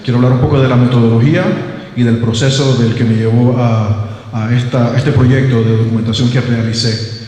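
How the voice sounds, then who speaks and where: A young man from Panama, whose first language is English, speaks as a linguist at a seminar, stumbling through restarts and fillers. His middle-pitched voice rings through a very echoey hall. The long reverb trails after each phrase. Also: fairly even intonation, brisk, warm tone. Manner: hesitant, many fillers and restarts. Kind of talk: academic presentation. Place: seminar room.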